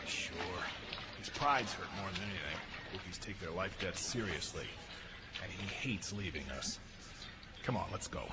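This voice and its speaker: An actor playing a sardonic, protective space smuggler in a sci-fi audio drama, casual but quick to bark. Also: male voice, low voice, animated. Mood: steady. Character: wry, grumbling male smuggler, brave protective husband. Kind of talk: sci-fi radio drama acting. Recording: noisy sound effects and ambience.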